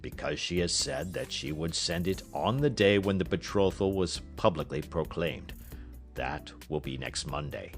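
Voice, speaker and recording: A man voicing an anxious, proud king in a grave, formal, deliberate manner, in an audiobook story. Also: low-pitched, animated, vocal fry, faint music behind, voice pushed down. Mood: solemn.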